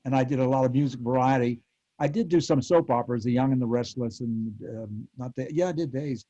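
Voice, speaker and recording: A dry, slightly muffled recording of an older American man reminiscing on a panel. His voice is dark and mellow, and he talks haltingly and reflectively, feeling his way through his thoughts. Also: mid-range voice, no room sound. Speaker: panel guest, former child actor and TV director. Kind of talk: reminiscing in an online panel interview.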